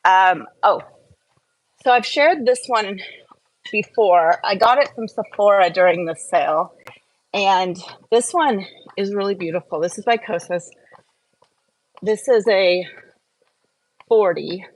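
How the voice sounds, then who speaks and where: A woman with a medium-pitched voice talks like a skincare and wellness vlogger. Her delivery is casual and chatty. She rambles, interrupts herself and drifts off on small tangents as she goes. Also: takes it slowly, pauses often, dry recording, poor audio. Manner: rambling, self-interrupting, easily distracted. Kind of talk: casual chatty live Q&A and product review.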